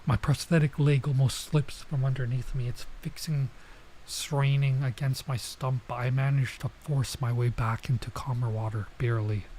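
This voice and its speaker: A man's voice: mid-range voice, steady tone, moderate pace, a little mellow. Male let's player, middle register, casual read-aloud with loose commentary and stumbles, noisy recording. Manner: casual, reacts with asides and stumbles over lines. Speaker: streamer playing and reading a visual novel. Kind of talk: let's play, reading game text aloud with casual commentary.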